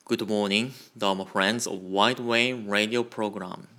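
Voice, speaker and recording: A man giving a Buddhist Dharma talk on a radio program, in a slow, measured and careful delivery. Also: fairly low pitch, fairly even intonation, sharp tone. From Japan. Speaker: Buddhist minister.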